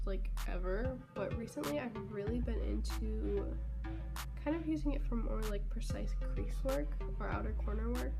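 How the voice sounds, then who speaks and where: A young woman talks through a makeup tutorial in a chatty, casual way, sliding now and then into soft half-whispers. Her voice sits a little high and sounds congested from a cold. Clear background music plays underneath her. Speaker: beauty vlogger.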